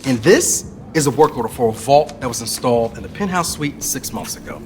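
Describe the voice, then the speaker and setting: An actor playing a keen, tech-minded crew member in a video game, explaining a heist in an informal way. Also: male voice, medium pitch, expressive, a little fast, noisy background, lightly acted. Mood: keen briefing. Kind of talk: video game cutscene acting.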